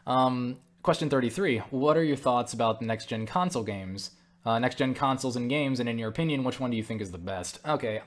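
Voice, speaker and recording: A man's voice: mid-range voice, expressive, fairly quick. Casual, rambling man answering questions. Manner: stumbles over words, self-interrupting; blunt, swears freely. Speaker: YouTuber answering viewer questions. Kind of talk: casual rambling Q&A monologue to camera.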